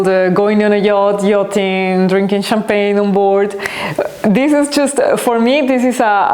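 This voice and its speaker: A woman speaks in a middle-pitched voice, earnest and reflective, pausing now and then to find the right word. Her talk has the loose flow of a podcast host answering listener questions. The room around her leaves a clear, roomy echo. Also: darkish tone. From Colombia. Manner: earnest and reflective, searching for words. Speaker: podcast host and company founder.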